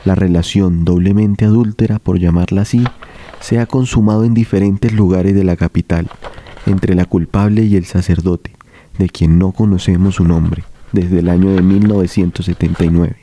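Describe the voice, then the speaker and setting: A man acts out a stern church record in an audio drama. His voice is low and extremely dark and soft-edged, reading in a formal, solemn way. Faint noise sits behind it. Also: fairly even intonation, normal speed, natural voice. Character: solemn, judgmental male voice of a church case file.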